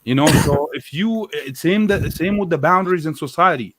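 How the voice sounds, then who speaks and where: A man's voice: fairly high pitch, expressive, normal speed, fairly bright tone, no room sound. A man in a casual, argumentative discussion as a guest commentator, often repeating words and rephrasing as he builds his point. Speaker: guest commentator on a religious live show.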